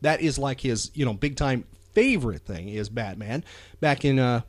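A man's voice: mid-range voice, animated, normal speed, no room sound. A man hosting a chatty pop culture podcast, talking with enthusiasm and emphasis, often repeating phrases for effect. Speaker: podcast host.

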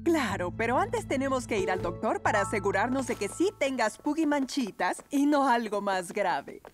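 High, swooping caring cartoon mother pug woman actor. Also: animated, normal speed, faint music behind, close to an everyday voice. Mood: gently firm. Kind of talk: children's cartoon dub.

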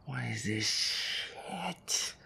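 A man playing a feisty, sweary werewolf boyfriend who grumbles his way through a horror game in an audio roleplay, his voice breathy and gravelly. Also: mid-range voice, expressive, very slow, sharp tone, slight background noise, heavily acted. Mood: exasperated, reluctant. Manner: exasperated, sweary grumbling, reading game text aloud. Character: feisty werewolf boyfriend, grumpy, sweary, easily scared gamer.

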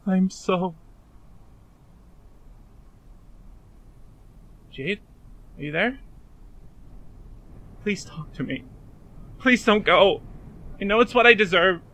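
Fan-dub drama role: despairing young man played by a man, high and sharp-edged, halting, anguished, pleading. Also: expressive, full character voice. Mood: terrified, pleading.

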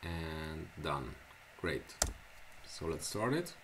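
A man from Switzerland whose first language is Swiss German, talking through a computer tutorial in a very low, deep voice. He speaks slowly and haltingly, stopping for fillers and restarting words. A soft hiss and keyboard clicks sit behind him from his desk mic. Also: sing-song. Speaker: software tutorial presenter. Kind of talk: casual screencast tutorial. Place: small indoor room at a computer.

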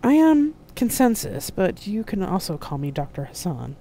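This is a serene woman avatar who commands the room in a science fiction audiobook, as a narrator puts her on. The tone is dark and mellow with a creaky edge, and she speaks like a revered negotiator. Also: medium pitch, big pitch swings, moderate pace, a little hiss or hum, pitched above the performer's own voice. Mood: assured, measured.

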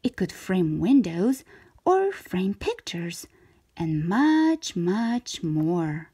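A woman reading a children's picture book aloud in expressive narration, drawing out key words for emphasis. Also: fairly low pitch, extremely slow, breathy edge. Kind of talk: expressive scripted storybook narration for young children.

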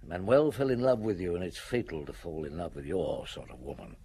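A man playing a weary, shrewd middle-aged police detective chief in a radio drama, grave and measured. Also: low-pitched, big pitch swings, dark tone, slight background noise. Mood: accusing.

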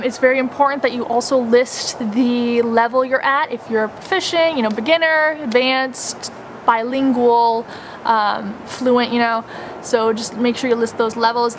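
A young woman in her twenties from the United States, giving casual advice in a chatty way full of "like" and "um", recorded outdoors in a garden on a camera mic. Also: fairly high pitch. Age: 20s. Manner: chatty, filler-heavy with frequent "like" and "um". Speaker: travel vlogger. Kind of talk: casual instructional chat. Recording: outdoor ambience with passing traffic.

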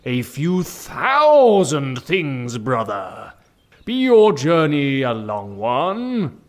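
An actor playing a humble, pious old friar who preaches in mock-archaic speech in a comic audio drama. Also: male voice, medium pitch, wildly swooping pitch, extremely slow, full character voice. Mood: sanctimonious.

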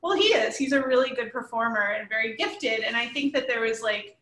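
A woman in her thirties talks casually as a panel guest. Her voice sits a little high and rings clearly bright. The room adds a strong echo over a video call. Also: a little fast. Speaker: interviewed comics creator. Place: living room at home, indoors. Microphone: computer mic at a short distance, video call. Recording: roomy, echoey home video call.

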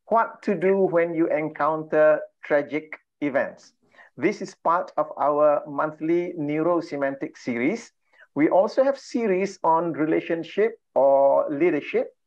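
A man's voice: fairly high pitch, unhurried. A middle-aged man from Malaysia hosting an online workshop on a video call, welcoming people with lots of "uh" fillers. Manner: frequent "uh" fillers, warm and laughing. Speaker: trainer and coach hosting an online session. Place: home room with bookshelves. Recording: slightly dull video call audio.

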